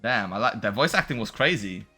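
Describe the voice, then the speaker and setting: A man's voice: fairly low pitch, a little fast. A young man giving casual, slangy game playthrough commentary and reading dialogue aloud as he plays. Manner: slangy, animated reactions. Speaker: game streamer. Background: game music.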